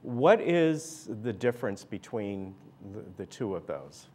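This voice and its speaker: A man's voice: lowish voice, sing-song, takes it slowly, mellow, a little hiss or hum. A man hosting an interview show, asking rambling, chatty questions. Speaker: talk show host. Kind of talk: interview host.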